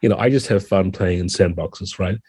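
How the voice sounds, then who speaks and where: A man's voice: low-pitched, moderate pace, darkish tone, slightly rough, no room sound, studio quality. A man talking conversationally as a guest game designer on a podcast, earnest and thoughtful.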